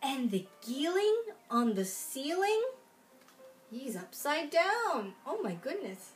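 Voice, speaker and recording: A young adult woman reading aloud at a children's storytime, expressive and sing-song, with little asides to young listeners. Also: high-pitched, slow pace, faint music behind. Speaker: children's storytime reader in princess costume. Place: small room at home, indoors.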